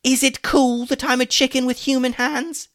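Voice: medium pitch, wildly swooping pitch, unhurried, no echo, clean studio sound, voice pushed up. A storyteller voicing a weary, sarcastic chicken wizard for a children's story. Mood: exasperated. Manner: sarcastic, exasperated. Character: mocking, weary chicken wizard prisoner with human hands.

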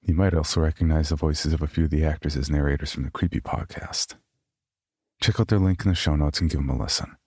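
A man hosting a horror podcast, reading its notices and then narrating a scary story in a deliberate, ominous way. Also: very deep voice, fairly even intonation, darkish tone, slightly rough, no echo, studio quality.

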